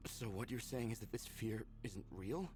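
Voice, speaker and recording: A man voicing the hesitant, soft-spoken hero of a space visual novel, with a questioning manner. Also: on the low side, normal speed, pitched below the performer's own voice, natural voice. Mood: anxious, puzzled.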